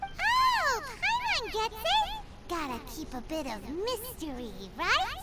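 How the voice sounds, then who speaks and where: A woman voicing a tiny, cheeky, excitable fairy companion in a game, with a squeaky childlike voice and bubbly manner. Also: slow, loud music behind the voice, exaggerated voice. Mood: perky, curious. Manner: bubbly, refers to herself by name. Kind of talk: cartoonish game dialogue.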